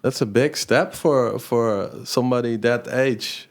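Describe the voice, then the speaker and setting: A man hosts a podcast interview in English. He speaks slowly at a middle pitch. He stumbles and restarts his questions often. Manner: hesitant, many restarts and laughs. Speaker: podcast host. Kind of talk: interview hosting.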